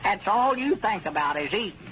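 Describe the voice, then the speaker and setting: Old country storekeeper, high voice, Arkansas hill drawl, folksy and muddled, crotchety, muffled old radio comedy recording. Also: male voice, animated, moderate pace, sharp tone, a little hiss or hum. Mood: bossy, grumbling. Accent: rural Southern US, Arkansas hill country. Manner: folksy, flustered, muddled stammering. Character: crotchety but soft-hearted, literal-minded old country storekeeper. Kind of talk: 1930s radio comedy serial acting.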